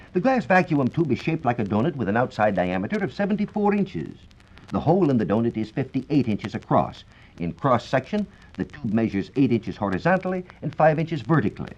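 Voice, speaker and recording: A man's voice: mid-range voice, a little mellow. An American man giving a formal, scripted explanation as a science reporter on 1940s radio. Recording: dull, lo-fi 1940s radio recording.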